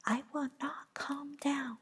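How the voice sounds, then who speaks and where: A woman voicing a furious, shrill young witch, whispering her lines in a higher pitch. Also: steady tone, takes it slowly, fairly bright tone.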